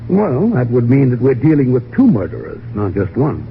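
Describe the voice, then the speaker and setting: A dull, faintly noisy old radio recording features a canny, steady sidekick. He is a lively-voiced man who coaxes and reasons in plain, slangy mystery-show talk. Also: medium pitch, moderate pace. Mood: reasoning. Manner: coolly reasoning, colloquial, persuasive. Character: shrewd, steady detective-like confidant, coaxing and practical.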